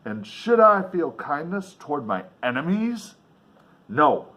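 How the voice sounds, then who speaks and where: A man's voice: a little high, very animated, slow, fairly bright tone. A man reading a novel aloud in a dramatic, emphatic way, with casual offhand commentary along the way. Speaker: reader and commentator.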